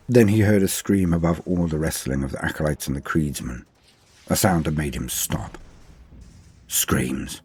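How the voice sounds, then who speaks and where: Very deep-voiced man, grave dramatic narrator. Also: a little mellow, slightly rough. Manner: grave, theatrical, deliberate phrasing. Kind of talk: dramatic audiobook-style story reading.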